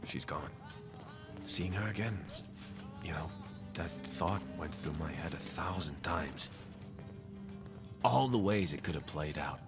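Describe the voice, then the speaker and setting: An actor playing a weary, guilt-ridden soldier companion in a video game, conversational and brooding, with sighs. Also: male voice, rumbling low, expressive, slow pace, pauses often, over music, full character voice. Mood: grieving, regretful. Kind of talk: video game character dialogue.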